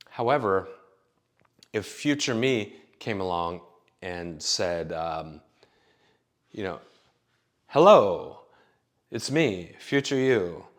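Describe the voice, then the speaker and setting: A man's voice: on the low side, a bit bright. A man in his late thirties telling stories in a rambling, profane, casual monologue full of fillers. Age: late 30s. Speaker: vlogger.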